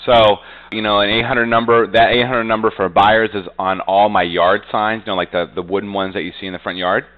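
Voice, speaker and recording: A man's voice: lowish voice, flat delivery, fairly quick, a bit bright, low-quality recording. A man being interviewed as a real estate agent, talking conversationally and confidently, with frequent verbal tags. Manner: frequently punctuates talk with "you know".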